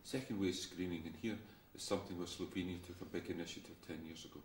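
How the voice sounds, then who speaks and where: A man giving an interview statement as a researcher, explaining points clearly one by one. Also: low-pitched, fairly even intonation, quick pace. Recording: roomy, distant, faint noise.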